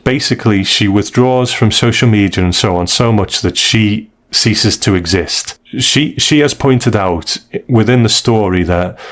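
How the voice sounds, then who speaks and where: A man co-hosting a podcast, chatting casually and conversationally as he reviews stories, with frequent asides. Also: on the low side. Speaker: podcast co-host.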